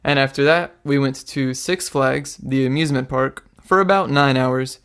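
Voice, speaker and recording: A man's voice: mid-range voice, normal speed, fairly bright tone, smooth. A teenage young man, a student giving informal interview answers. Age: teens.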